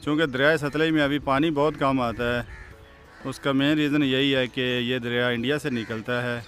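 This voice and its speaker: A man's voice: medium pitch, fairly even intonation, normal speed, a bit bright, faint music behind. A man from Pakistan, narrating a travel vlog outdoors and chatting to viewers as friends. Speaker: travel vlogger. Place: outdoors by roads and a river.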